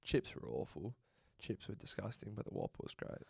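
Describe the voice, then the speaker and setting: A man's voice: fairly low pitch, big pitch swings, fairly quick, gravelly, darkish tone, slight background noise. A young man, co-hosting a podcast in a laid-back, swearing, joking way. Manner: laid-back, swearing, laughs at his own jokes. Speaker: podcast co-host. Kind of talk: casual chat.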